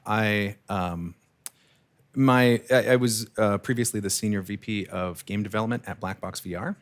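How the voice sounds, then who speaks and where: A man's voice: on the low side, normal speed. A man in his thirties, a startup founder, talking casually with many fillers and restarts in an interview.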